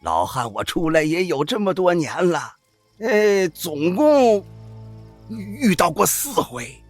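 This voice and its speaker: Raspy, drawling old troupe boss. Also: male voice, sits high, very animated, takes it slowly, no room sound, soft background music, full character voice. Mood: weary, sighing. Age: older. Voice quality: raspy, creaky. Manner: drawling, sighing, folksy exclamations. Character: old village opera-troupe boss, folksy, worldly, cautious. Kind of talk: audio drama acting.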